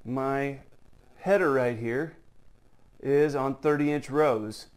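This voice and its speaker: A man's voice: mid-range voice, slow pace, clear, resonant tone. A man giving a casual, folksy how-to demonstration in his workshop, joking at his own expense as he works. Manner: folksy, self-joking, talks while working. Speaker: model diorama builder. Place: workshop, indoors.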